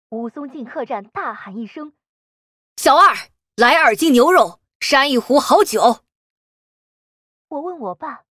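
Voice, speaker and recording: A woman's voice: high-pitched, fairly bright tone, dry recording. A woman reading a story expressively and acting out the dialogue, filtered to sound muffled behind a door. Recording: muffled, dull band-limited sound, very dry.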